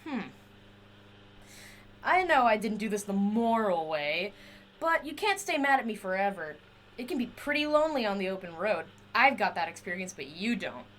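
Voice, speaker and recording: A woman's voice: mid-range voice, animated, normal speed, a bit bright, a little hiss or hum, lightly acted. A woman playing a chatty, eccentric inventor in an audio drama, rambling eagerly and wheedling to justify herself. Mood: hopeful, confiding. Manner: rambling, wheedling, talks to a silent companion.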